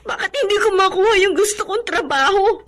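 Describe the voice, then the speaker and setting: A woman's voice: very high-pitched, lively intonation, fairly quick, a bit bright. A Filipino woman playing an emotional young jobless daughter, proud and then humbled, in a radio drama. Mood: frustrated, tearful. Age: young adult.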